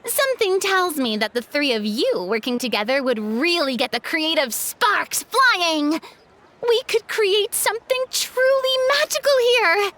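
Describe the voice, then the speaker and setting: A flamboyant young woman of the stage for a video game. Her voice is very high and swings wildly in pitch. She takes her time over her words, grandiose and effusive. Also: very animated, unhurried, bright, forceful tone, heavily acted. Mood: pleased, eager. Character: theatrical, flamboyant young woman film director, excitable gourmet.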